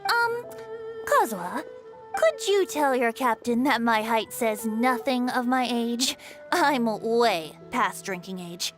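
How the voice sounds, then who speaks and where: A woman's voice: on the high side, big pitch swings, slow, soft background music. A woman voicing a carefree boyish bard in a video game, playful, theatrical and wheedling. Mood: pleading.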